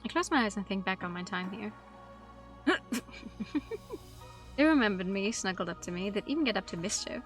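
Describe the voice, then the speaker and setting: A woman's voice: mid-range voice, animated, normal speed, long pauses. A woman streaming a game, reading its text aloud and adding casual, playful, musing commentary. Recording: light music behind.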